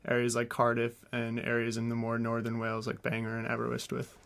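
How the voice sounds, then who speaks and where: A man's voice: mid-range voice, monotone, brisk, vocal fry, fairly bright tone. A young man from Minnesota, an exchange student explaining his studies earnestly in a radio interview. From Minnesota, United States. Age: young adult.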